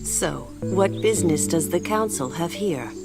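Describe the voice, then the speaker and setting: An actress playing a stern, protective village mayor in a scripted audio drama, curt and businesslike. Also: female voice, lowish voice, lively intonation, a little slow. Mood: stern, guarded. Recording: loud music behind the voice. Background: loud music.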